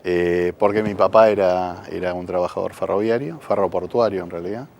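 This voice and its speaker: A man's voice: sits low, moderate pace, vocal fry, darkish tone, lo-fi sound, slight background noise. A man of about fifty with a Buenos Aires Argentine accent, answering interview questions conversationally and often ending phrases with a checking tag. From San Isidro, Buenos Aires, Argentina. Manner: frequent rhetorical "¿no?" tags. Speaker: local politician, council president, interview guest.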